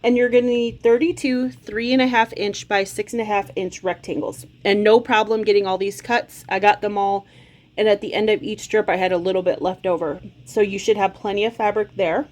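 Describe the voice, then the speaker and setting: A woman's voice: mid-range voice, steady tone, brisk, a little mellow. A woman in her forties with a slight cold hosts a casual sewing tutorial, explaining each step in a friendly, chatty way from her home sewing room. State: slight cold, occasional cough. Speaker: quilting channel host.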